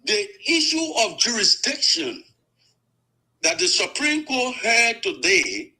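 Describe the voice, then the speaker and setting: A man's voice: very high-pitched, very animated, sharp tone, poor audio. A man, a lawyer making a formal legal argument on a panel show. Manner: measured, formal courtroom phrasing.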